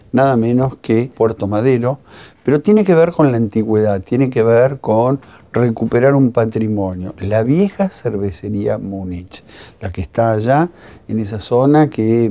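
An older Argentine man hosts a culture programme, speaking to camera in a book-lined room. His voice is dark and gravelly, with a measured presenter's delivery. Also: medium pitch. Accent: Argentine Spanish. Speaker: TV culture show host. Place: library room lined with bookshelves.

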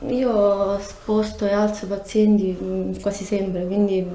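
A woman's voice: medium pitch, little pitch movement, slow, mellow. A woman, a patient giving hesitant conversational answers during an examination, in a roomy recording. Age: 20s. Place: small treatment room, indoors. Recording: roomy, echoing, clearly noisy pickup.

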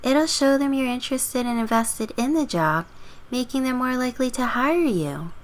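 A woman voicing a bright, chirpy young female neighbour, sweet and curious, in a scripted game story. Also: high-pitched, moderate pace, voice pushed up, natural voice. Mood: friendly, helpful.

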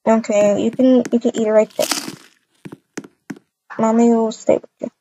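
A woman playing a gentle, doting young mother in a casual game roleplay, with soft cooing baby talk. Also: fairly high pitch, level tone, normal speed, lightly acted. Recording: muffled, noisy microphone with clicks.

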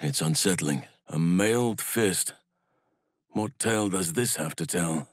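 An actor playing a calm, earnest and wise male druid healer, protective in temper, for a video game. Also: deep voice, a little slow, no room sound, studio quality. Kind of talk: video game character voice lines.

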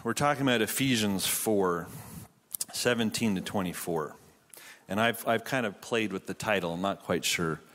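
A man's voice: lowish voice, moderate pace, fairly bright tone. Chatty canadian man in a reverberant hall. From Quebec, Canada. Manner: chatty, self-deprecating, many restarts. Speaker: pastor and conference speaker. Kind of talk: conference sermon. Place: large church auditorium with stage. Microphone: handheld mic.

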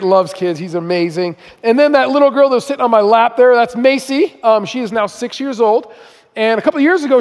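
A man in his forties stands before a church congregation, telling family stories in a loose, self-deprecating way with lots of ums and uhs. His voice sits fairly high for a man. A long reverb trails his words through the large hall. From Iran, raised in Southern California. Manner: chatty, self-deprecating, many fillers. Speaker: guest speaker. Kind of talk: storytelling sermon. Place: church auditorium.

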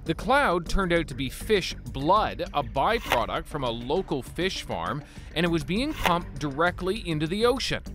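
A man's voice: fairly high pitch, sing-song, moderate pace, music in the background. A middle-aged man hosting a TV news show, narrating and interviewing with a polished broadcast delivery. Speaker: news host. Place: TV studio. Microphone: lapel.

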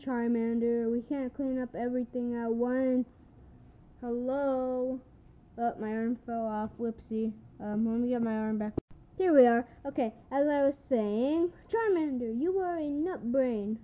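A woman voicing a calm, smug little plant creature in a chatty, drawn-out way, heard through a tinny phone-like recording. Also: a little high, close to an everyday voice.

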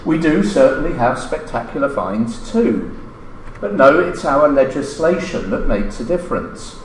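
Middle-aged male academic, formal scripted lecture with lively, swooping intonation, recorded in a roomy hall. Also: mid-range voice. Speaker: academic speaker reading a colleague's paper. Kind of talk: scripted conference lecture, read aloud. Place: indoor lecture room, standing at a lectern. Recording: roomy lecture-room echo, noticeable background noise.

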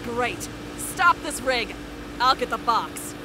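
Cartoon pirate captain played by a woman: tough, sardonic, fairly high, slow and deliberate, music underneath. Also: lively intonation, noisy background. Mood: commanding. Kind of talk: motion comic voice acting. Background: background music.

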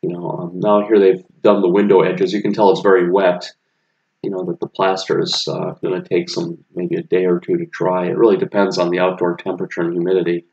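Deep flat-voiced man, rambling narration. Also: a little fast, creaky, lo-fi sound. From United States. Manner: relaxed, rambling, many fillers and restarts. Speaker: vlogger showing his apartment renovation. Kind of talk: casual narration over photos.

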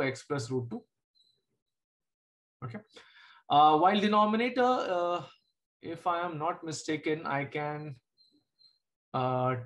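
A man in his thirties with an Indian English accent, Hindi his mother tongue, teaching a math class over a video call. His voice sits high for a man as he works through each step and keeps checking that students follow. He is heard through a computer mic in a room at home. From Jharkhand, India.

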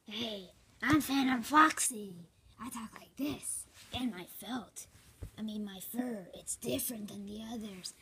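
A woman voicing a proud, individualist phantom fox plush toy with a breathy delivery. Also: a little high, a little slow, crowd noise, close to an everyday voice, rough recording.

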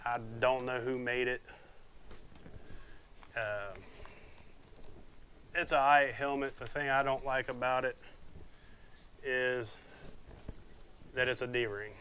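A man's voice: medium pitch, fairly even intonation, slow pace, pauses often, bright, forceful tone, slight background noise. A man, chatting casually and rambling a bit as he unboxes motorcycle gear on his vlog, recorded on a lapel mic in a small room at home. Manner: plainspoken, rambling, folksy. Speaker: motovlogger reviewing gear. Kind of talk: casual unboxing talk to camera. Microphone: wireless lapel mic.

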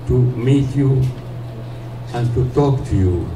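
A hoarse man losing his voice, giving a slow, friendly speech as a guest at a gathering, with emphatic repeats. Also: medium pitch, expressive. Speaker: guest speaker. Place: community hall, indoors. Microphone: handheld mic, heard from a distant camera. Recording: echoey room, distant mic, background noise.

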